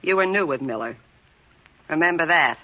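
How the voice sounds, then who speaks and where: An actress playing a tough, scheming racketeer with a brash, taunting, hard-boiled manner in an old-time radio crime drama. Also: female voice, sits low, animated, moderate pace. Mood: scornful, sharp. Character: tough, scheming female racketeer, slangy and cool. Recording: dull, muffled old radio recording.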